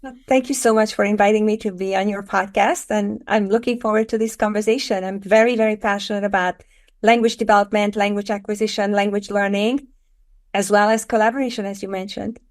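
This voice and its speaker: A middle-aged woman from Hungary with a Hungarian accent, a guest explaining her work in a podcast interview with earnest, measured phrasing. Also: mid-range voice, steady tone. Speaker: guest, education author.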